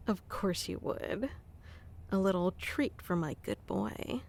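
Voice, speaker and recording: A woman doing a soft-spoken ASMR roleplay, teasing and commanding yet doting, close to the mic. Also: medium pitch, vocal fry. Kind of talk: ASMR roleplay, playing a bossy affectionate boss.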